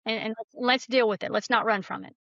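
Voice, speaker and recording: A middle-aged woman with a fairly high voice talks as an interview guest. She is earnest and candid as she reflects and tells stories. The sound is close and dry but a little muffled. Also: steady tone, brisk, vocal fry, fairly bright tone, dry recording. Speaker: guest author and screenwriter.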